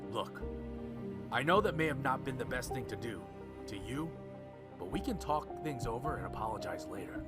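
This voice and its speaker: A man's voice: mid-range voice, animated, normal speed, loud music behind the voice, close to an everyday voice. A man acting a hard-bitten, conflicted man on the run in an audio drama, sweary and emotional. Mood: grave.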